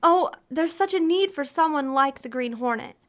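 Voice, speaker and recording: A woman's voice: sits high, expressive, moderate pace, fairly bright tone. An actress playing a loyal, earnest woman in an old-time radio drama. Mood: earnest, modest.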